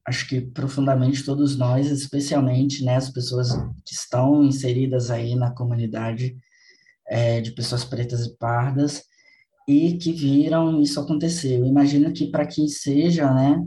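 Man, podcast host, earnest, reflective, mellow warm tone, mid pitch. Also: level tone, measured pace. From Bahia, Brazil. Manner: earnest, reflective, repeats for emphasis. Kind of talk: casual podcast conversation.

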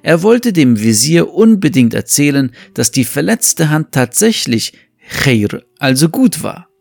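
A man's voice: on the high side, sing-song, unhurried, vocal fry, darkish tone, no room sound. A man narrating a scripted fable as the storyteller of an audio story. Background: faint music.

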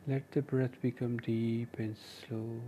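A man with an Indian accent leading a guided meditation, giving gentle, measured instructions in short phrases with pauses. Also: mid-range voice, little pitch movement, slight background noise, poor audio. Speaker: meditation teacher and podcast host.

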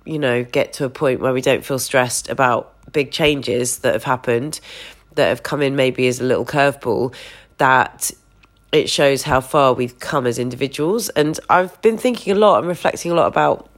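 A woman in her thirties with a stuffy, bunged-up voice, hosting a candid solo podcast from her sofa and recorded on her phone. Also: very deep voice. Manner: candid, gently meandering with many fillers. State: congested, stuffy nose. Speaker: podcast host. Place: home sofa.

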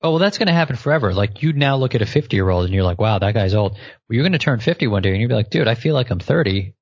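A man in his late twenties co-hosting a casual chat, animated and often repeating words as he starts a thought. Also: mid-range voice, rapid-fire, no echo. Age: 20s. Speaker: podcast co-host. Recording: muffled lo-fi audio.

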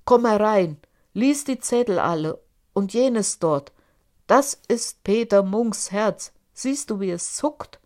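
A woman voicing a boastful, greedy male giant in an audiobook, speaking loudly and scornfully. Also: animated, takes it slowly. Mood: insistent. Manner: loud, booming, scornful. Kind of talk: audiobook character voice.

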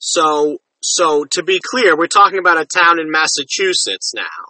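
A man hosts a relaxed interview, his voice very bright and a touch raised in pitch. He sits a little back from the mic in a dry, dead room. He talks loosely, with fillers and restarts as he shapes his questions. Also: moderate pace, dry recording, recorded from a distance, rough recording. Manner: conversational, asks follow-up questions with many fillers. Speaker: interview host. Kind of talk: casual interview.

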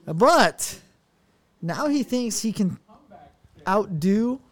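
High-pitched dude hosting a chill car podcast with his buddies, swears a lot, laughs all the time. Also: animated, very slow. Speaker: podcast host. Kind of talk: casual chat with friends. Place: home garage at a viewing party.

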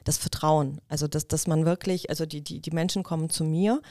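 A woman's voice: sits low, fairly even intonation, a little fast, mellow, no echo, studio quality. A middle-aged woman born in Ukraine, a lawyer talking as a podcast guest in thoughtful casual chat with many fillers and restarts.

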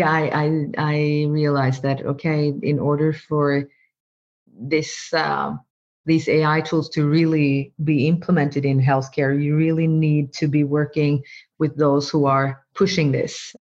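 A woman's voice: deep voice, steady tone, unhurried, darkish tone. A woman, a doctor speaking as an interview guest, answering thoughtfully and often repeating words while she finds her phrasing. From Norway. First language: Norwegian. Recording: slightly muffled, lo-fi.

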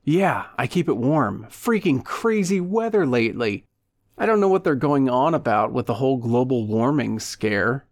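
A man's voice: medium pitch, expressive, moderate pace, lightly acted. A man voicing a falsely jovial, pushy man in an audiobook, with exaggerated chummy enthusiasm. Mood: chummy, hospitable.

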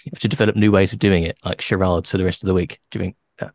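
A man hosting a casual podcast interview, his voice sitting low. He talks in a friendly, playful way and laughs easily. The sound is dry, with no room echo. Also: steady tone, racing, a little mellow. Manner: chatty, laughs often, playful teasing. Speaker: podcast host.

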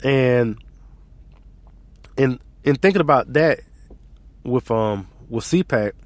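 A man's voice: medium pitch, lively intonation, slow pace, no room sound. An American man with a stuffy, allergy-congested nose hosts a podcast in a casual, rambling, self-deprecating way. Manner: self-deprecating, chuckles at himself. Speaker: podcast host.

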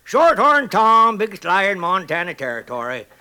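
An actor playing a toothless, stubbornly hopeful old prospector in a 1950s radio western, gravelly and rambling in a folksy American frontier drawl. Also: male voice, sits high, very animated, moderate pace, sharp tone, heavily acted. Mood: wry, aggrieved. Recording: noisy 1950s radio recording.

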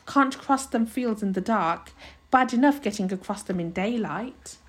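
A woman voicing a patient, practical tiny husband in a storybook, steady and plain-spoken. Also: mid-range voice, moderate pace, slightly rough, close to an everyday voice. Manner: patient, plain rustic phrasing.

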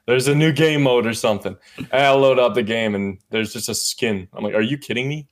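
Laid-back male streamer guest from Canada, slangy and animated, mid-range voice. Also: mid-range voice, brisk. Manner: casual, slangy, animated about games. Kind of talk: casual conversation.

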